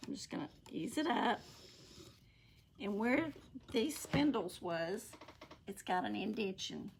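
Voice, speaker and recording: A middle-aged woman with a raspy voice and a Southern US accent, casually talking through a craft project while she works with her hands. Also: mid-range voice, lively intonation, slow, a little hiss or hum. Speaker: craft channel host. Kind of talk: casual live craft tutorial. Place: indoor home craft room.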